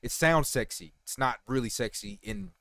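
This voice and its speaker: A man's voice: medium pitch, sing-song, normal speed, gravelly, pushed and bright, dry recording. A man in his thirties giving casual, rambling reaction commentary, full of fillers and swearing and talking straight to his viewers. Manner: keeps saying "you guys" to viewers. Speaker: reaction video host.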